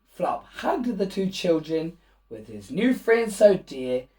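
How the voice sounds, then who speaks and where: A man reading a rhyming children's picture book aloud in an expressive, animated way, with asides to young listeners. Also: high voice, takes it slowly, recorded from a distance. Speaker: children's storybook reader and host.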